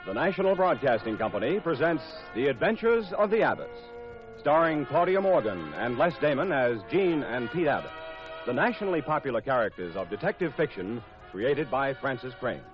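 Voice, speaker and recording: A muffled vintage broadcast of a male radio announcer. He delivers a formal programme introduction with very lively pitch, music playing behind him. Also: moderate pace.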